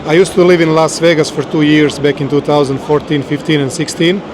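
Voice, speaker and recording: A man with a Serbian accent answers interview questions outdoors, steady and measured in English with some hesitation. His voice is a touch high and keeps a flat, even tone. Street noise runs under him. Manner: steady, measured, a little halting. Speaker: guest. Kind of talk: casual interview.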